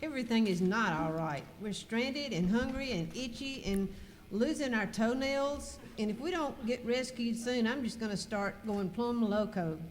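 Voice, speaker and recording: A woman's voice: fairly high pitch, moderate pace, big-room sound, natural voice. A woman playing an impatient, complaining western outlaw in an amateur live radio skit with a noisy recording. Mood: exasperated. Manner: complaining, dramatic. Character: exasperated female outlaw Mary, griping and impatient.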